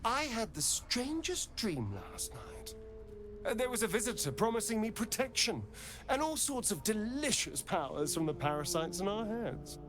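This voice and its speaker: A woman's voice: mid-range voice, big pitch swings, moderate pace, close to an everyday voice. A woman playing a sly, self-serving adventurer in a video game, speaking with theatrical, silky relish. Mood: intrigued. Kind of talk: video game character dialogue.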